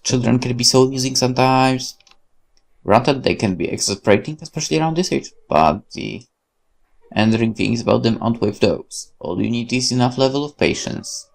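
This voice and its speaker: Polish-native man, let's play host, reads a horror story game aloud, gravelly, lively intonation, corrects himself. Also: mid-range voice, normal speed. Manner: lively reading with self-corrections and asides. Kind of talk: reading a horror visual novel aloud.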